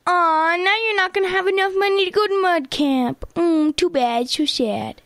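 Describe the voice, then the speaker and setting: Woman acting very high, cheeky kid. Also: moderate pace, a bit bright, no room sound. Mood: mock sympathy. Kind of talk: comedic radio theater acting.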